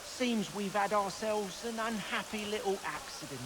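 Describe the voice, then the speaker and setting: A man's voice: sits high, moderate pace, noisy background, close to an everyday voice. An actor playing an obsequious servant who apologizes to his betters with exaggerated politeness. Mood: fawning. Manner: fawning, overly polite.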